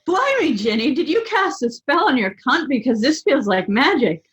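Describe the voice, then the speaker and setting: A woman voicing a flustered teenage boy wizard who squeaks and speaks eagerly. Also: big pitch swings, moderate pace, fairly bright tone, highs cut off.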